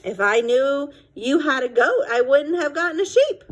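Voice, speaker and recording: Woman voicing a high, playful young storybook girl. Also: animated, moderate pace. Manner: innocent, eager, explaining herself. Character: mischievous, playful young girl with an innocent air.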